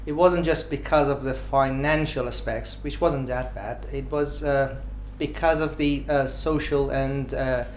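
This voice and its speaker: A man from Iran speaks in his forties, with a clear Iranian accent and mid-range pitch. He answers unscripted and keeps halting on filler sounds. Faint ambient noise sits under his voice. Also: fairly even intonation. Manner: hesitant, many filled pauses. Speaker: dentist interviewee.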